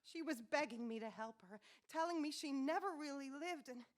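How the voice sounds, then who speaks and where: A woman plays a haunted wife in an old-time radio chiller. Her voice is high and urgent. She sounds frightened yet stubbornly insistent. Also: fairly quick, close to an everyday voice. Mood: haunted, pleading.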